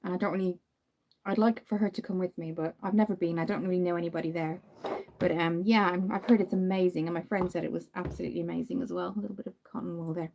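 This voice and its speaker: A young Irish woman from Dublin chatting casually on a livestream while doing her makeup, greeting viewers by name and filling pauses with frequent ums. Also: fairly low pitch, fairly even intonation, gravelly, darkish tone. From Ireland.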